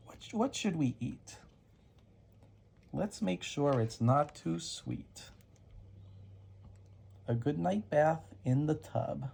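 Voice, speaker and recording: Slow, mellow bedtime story reader with creaky edge. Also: mid-range voice, expressive. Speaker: parent reading bedtime stories. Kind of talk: reading picture books aloud to a toddler. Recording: noisy amateur home recording.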